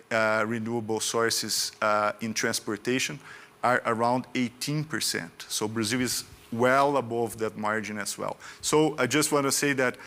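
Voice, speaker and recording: A man speaking on a conference panel, explaining his points step by step at a middling pitch. He pauses often and fills the gaps with soft fillers as he goes. His delivery is steady and conversational. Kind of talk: panel discussion remarks.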